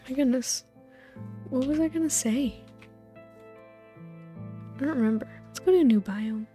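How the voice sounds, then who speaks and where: Woman, mellow and fairly high, soft-spoken sleep-aid rambler, faint music underneath. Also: normal speed. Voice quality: soft voice, drifting into whispers. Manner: soft, meandering, loses her train of thought. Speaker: gaming video host.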